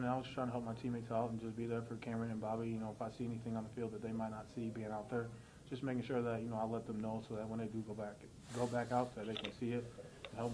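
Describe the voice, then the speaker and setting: Young guy football player, quick and even, noisy presser. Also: medium pitch. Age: about twenty. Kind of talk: post-game press conference answers. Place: indoor press room, seated before a banner. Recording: clearly noisy room.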